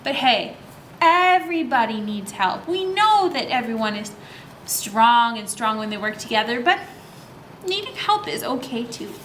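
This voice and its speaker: Bright, high-voiced woman hosting children's story time. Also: a little slow, slight background noise. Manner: animated, child-directed, asks and answers own questions. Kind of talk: read-aloud and craft instruction for young children.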